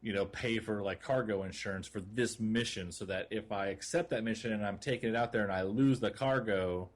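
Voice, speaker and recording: A man co-hosting a gaming podcast in casual conversation, explaining things in a rambling, halting way. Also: on the low side, steady tone. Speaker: podcast co-host. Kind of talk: casual chat about a video game.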